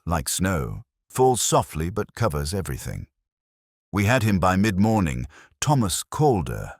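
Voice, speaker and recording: A man acting as a brooding, world-weary police detective narrates his own case in a scripted audio drama. His voice is extremely deep and somewhat husky, with expressive rises and falls. The phrasing is literary, introspective and measured. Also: no room sound, clean studio sound, full character voice.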